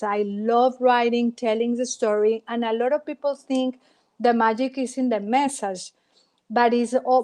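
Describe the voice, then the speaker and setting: A middle-aged woman from Colombia, speaking English with a Colombian Spanish accent as a native Spanish speaker, telling stories expressively in an interview. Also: fairly high pitch. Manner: expressive, dramatic storyteller. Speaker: guest, former TV reporter. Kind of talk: storytelling interview.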